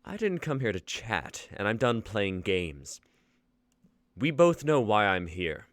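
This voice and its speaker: A man playing a battle-hardened young warrior, calm and taunting, in a live script reading. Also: fairly low pitch, takes it slowly, no room sound, heavily acted. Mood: cold taunting.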